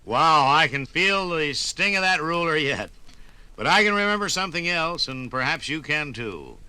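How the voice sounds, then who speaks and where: Very bright-voiced American radio storyteller, male. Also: fairly high pitch, big pitch swings, normal speed, clean tone. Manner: dramatic, evocative storytelling. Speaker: narrator. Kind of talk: nostalgic 1950s radio narration. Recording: 1950s radio recording.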